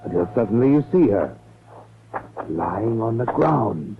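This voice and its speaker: An actor playing a sardonic, ominous male narrator of a 1940s radio mystery, deliberate and knowing. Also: lowish voice, very animated, creaky, background noise, heavily acted. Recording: tinny old radio recording with music.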